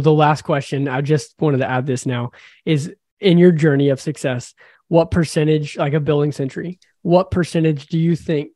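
A man's voice: on the high side, warm tone, dry recording. Enthusiastic male podcast host, dry sound. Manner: enthusiastic, effusive praise. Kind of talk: casual interview talk.

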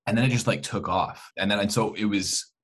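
A Canadian man speaking as a guest in a casual podcast chat, halting yet enthusiastic in manner. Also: fairly low pitch, steady tone. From Alberta, Canada. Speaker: interview guest, actor.

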